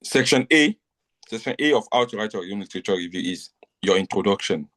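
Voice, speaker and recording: A man's voice: lowish voice, animated, a little fast, a bit bright. A middle-aged man from Nigeria tutoring a class in a live online lecture, repeating points and often asking whether students understand. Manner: repetitive, checks understanding often.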